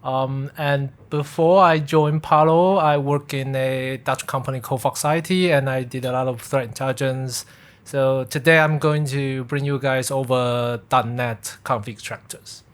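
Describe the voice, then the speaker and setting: A man's voice: medium pitch, steady tone, a little slow, clean tone. A man speaking as co-presenter at a technical conference workshop. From Taiwan. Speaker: workshop co-presenter, reverse engineer. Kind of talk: conference self-introduction.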